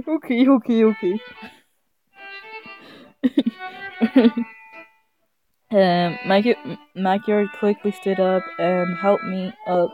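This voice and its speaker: A woman's voice: medium pitch, drawn-out words, long pauses. Casting: amused female streamer reading visual novel text aloud, mid-range voice, slightly muffled recording with game music. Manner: giggly, amused, often stumbling over reading. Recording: slightly dull sound, game music behind.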